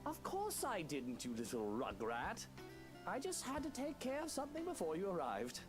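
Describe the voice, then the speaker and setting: Exasperated, indulgent godparent for a visual novel: low register, hugely varied intonation, dry teasing. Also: female voice, huge pitch swings, moderate pace. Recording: music behind the voice.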